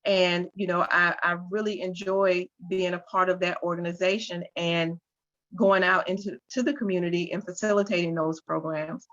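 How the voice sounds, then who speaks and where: Low-voiced woman on dull dry audio. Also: fairly even intonation, normal speed, fairly bright tone, dry recording. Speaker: webinar panelist, program facilitator. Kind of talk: online panel talk.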